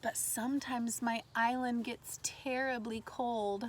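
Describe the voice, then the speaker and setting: High-voiced woman teaching a read-aloud lesson. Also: flat delivery, takes it slowly, noisy background. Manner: simple child-directed explaining with prompting questions. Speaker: elementary school teacher.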